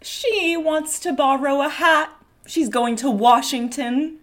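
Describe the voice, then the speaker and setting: 女性が演じる高めの声の、たしなめる恋人. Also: female voice, sits high, slow pace, fairly bright tone, voice pushed up. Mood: matter-of-fact. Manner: coy, melodramatic scolding. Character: playfully scolding 1910s sweetheart of a policeman. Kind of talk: play table read.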